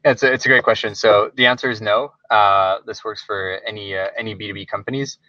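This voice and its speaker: A man's voice: fairly low pitch, steady tone, quick pace, pushed and bright, no echo. A man explaining things in a webinar presentation, informal and hesitant, often leaning on filler words as he works through his points. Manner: informal, hesitant phrasing with frequent "like". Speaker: software company presenter. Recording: slightly dull lo-fi webinar audio.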